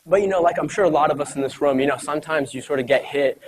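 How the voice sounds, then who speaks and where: A man's voice: on the high side, quick pace. Casual young man speaking to an audience. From United States. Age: 20s. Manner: casual, conversational, many fillers and "you know". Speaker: speaker at an event. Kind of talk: motivational talk to a live audience.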